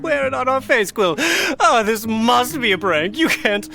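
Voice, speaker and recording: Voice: mid-range voice, animated, measured pace, vocal fry, over music, voice pushed up. A performer voicing a bumbling, giggly guard who talks with fussy, stammering politeness in an audio drama game. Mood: giggly disbelief. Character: bumbling, giggly guard, incredulous and nervously apologetic.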